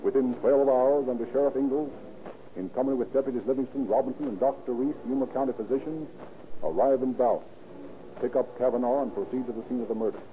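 A man narrating a scripted old-time radio crime drama in a formal, dramatic, measured manner. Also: medium pitch, slight background noise. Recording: tinny old radio recording.

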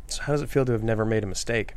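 A man's voice: on the low side, racing, a little mellow, natural voice. An actor playing a blunt, probing male friend, casual and direct, at a script table read.

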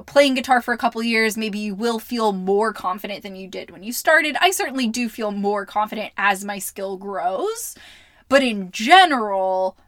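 A woman hosting a solo podcast as a life coach, upbeat and chatty, her voice slightly hoarse. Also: mid-range voice, a bit bright, no room sound, studio quality.

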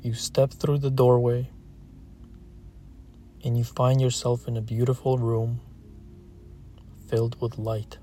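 A man narrating a scripted guided meditation, calm and gentle, leaving long pauses between short phrases. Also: mid-range voice, slight background noise.

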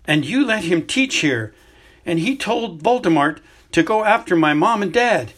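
A man's voice: mid-range voice, unhurried, breathy edge. Man voicing an angry teenage boy wizard. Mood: furious. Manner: curt and tense.

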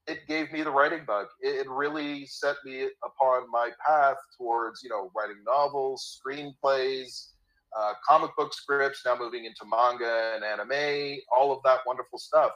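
A man's voice: mid-range voice, fairly bright tone, poor audio. A Canadian man in his fifties with a slight cold, talking as a guest on a remote interview, eager and often repeating words as he starts a thought. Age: 50s. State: has a cold, a bit raspy.

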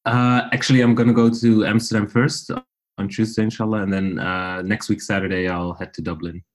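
A man in his thirties who grew up in the Netherlands, speaking as a podcast guest over a remote call, with many "uh" fillers and a steady, thoughtful manner. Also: on the low side, a little fast. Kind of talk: casual interview chat.

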